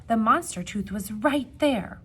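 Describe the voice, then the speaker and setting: A woman teacher giving an expressive storybook read-aloud, with teaching asides and questions for young listeners. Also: fairly high pitch, a little slow, husky.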